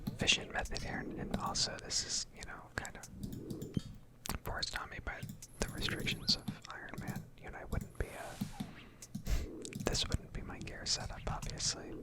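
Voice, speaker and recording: A woman softly doing ASMR commentary over video game play, hesitant and self-deprecating, close to the microphone. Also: normal speed. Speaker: gaming video creator.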